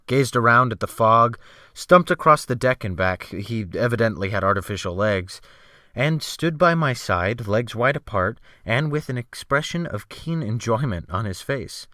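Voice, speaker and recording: Forceful male audiobook narrator, dry sound. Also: mid-range voice, no echo. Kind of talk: dramatic literary narration in the first person.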